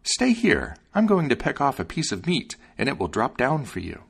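A man's voice: mid-range voice, wildly swooping pitch, normal speed, gravelly, slightly rough, pitched above the performer's own voice, full character voice. A man voicing a small, bold, loyal and vengeful sparrow in a storybook tale, speaking emphatically. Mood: helpful, eager. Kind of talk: storybook character voice.

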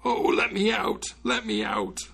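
A man voicing a tiny trapped spirit, high, muffled and strained, pleading and wheedling in a storybook tale. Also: animated, fairly quick, vocal fry, breathy edge, full character voice. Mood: pitiful, wheedling.

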